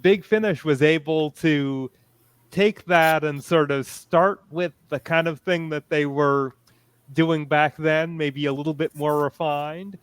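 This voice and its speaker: A man with a fairly high, very clear and smooth voice, recorded dry and close. He talks casually and keenly as a fan on a panel. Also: fairly even intonation, slow, no room sound. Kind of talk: casual, enthusiastic fan discussion.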